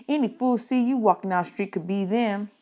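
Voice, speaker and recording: A woman's voice: medium pitch, fairly quick, warm tone, lightly acted. A woman voicing a boastful, sneering orphanage boy bully with a drawling, taunting delivery, in a storybook reading. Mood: mocking.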